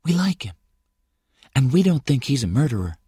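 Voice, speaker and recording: A man's voice: a little high, big pitch swings, moderate pace, warm tone, breathy edge, no echo, studio quality, pitched above the performer's own voice. A man voicing a forthright, curious young small-town woman in an audiobook, in a confiding conversational way. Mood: quiet, earnest. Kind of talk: audiobook character voice.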